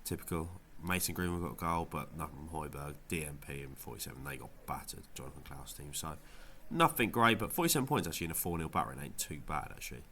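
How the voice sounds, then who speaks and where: A deep-pitched man whose voice comes out nasal from a head cold. His tone is bright and forward, and he talks through his results in a loose, rambling way, full of ums and false starts. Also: expressive, fast, few pauses, a little hiss or hum. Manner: rambling, lots of ums and restarts. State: has a cold, sounds nasal. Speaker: fantasy football video creator. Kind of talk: casual gameweek review vlog.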